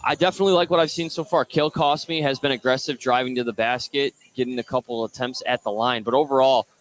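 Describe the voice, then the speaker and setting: A man doing live play-by-play radio commentary of a high school basketball game. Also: steady tone, fast. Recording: noisy gym ambience behind the voice.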